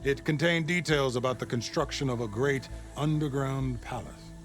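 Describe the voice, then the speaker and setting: A man acts as a scholarly court overseer in a video game drama. He has a mid-pitched male voice and speaks formally and reverently, with grand, deferential phrasing, over background music. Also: moderate pace, natural voice. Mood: formal, measured.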